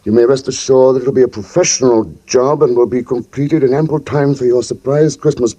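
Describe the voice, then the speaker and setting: An actor playing a middle-aged professor, urbane and measured, sly beneath a genial surface, in 1940s radio drama style. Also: male voice, medium pitch, fairly even intonation, warm tone, lightly acted. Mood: controlled unease. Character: sly, outwardly genial professor hiding a wife's murder. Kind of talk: 1940s radio drama acting with first-person narration. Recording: vintage radio recording, faint music.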